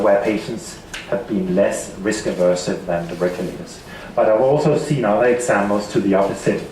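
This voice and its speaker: A man with a Danish accent giving a seminar talk, halting and repeating words often. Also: lowish voice, a little hiss or hum. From Denmark. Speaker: seminar speaker. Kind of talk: conference presentation. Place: seminar hall. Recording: echoey hall from far off.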